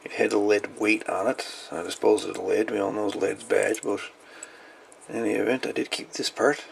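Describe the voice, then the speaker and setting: A man's voice: fairly low pitch, steady tone, normal speed, creaky, slight background noise. A man hosting a hobby video, chatting casually and unscripted in a folksy way as he shows things off. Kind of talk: casual show-and-tell of salvaged radio parts.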